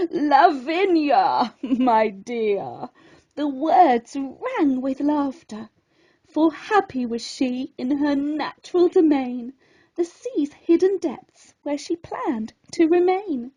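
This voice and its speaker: A woman storyteller reading a rhyming children's bedtime story in an expressive, theatrical and deliberate way. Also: high voice, muffled recording.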